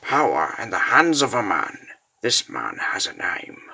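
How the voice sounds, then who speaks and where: A man narrating a dark fantasy story in a theatrical, ominous style with deliberate phrasing. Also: deep voice, huge pitch swings, creaky, breathy edge. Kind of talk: dramatic scripted fantasy narration.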